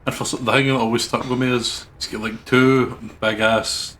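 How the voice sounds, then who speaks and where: A man with a medium-pitched voice chats as co-host of a gaming video. His talk is casual and joking, with noticeable noise behind it. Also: flat delivery, normal speed, fairly bright tone. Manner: informal, joking. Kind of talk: casual chat.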